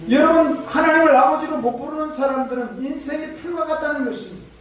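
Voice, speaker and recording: A man's voice: extremely high pitch, fast, a little hiss or hum. A man preaching a Sunday sermon as a pastor, exhorting with emphasis. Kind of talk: Sunday sermon with scripture readings. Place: large church sanctuary during a service. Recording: echoey church hall, far from mic.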